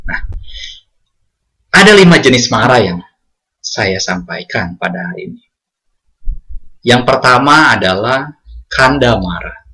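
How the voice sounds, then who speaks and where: Man, Indonesian accent, Buddhist sermon, slow delivery, bright tone. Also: mid-range voice, sing-song, low-quality recording. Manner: addresses congregation often, sings hymn lines slowly. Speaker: Buddhist preacher.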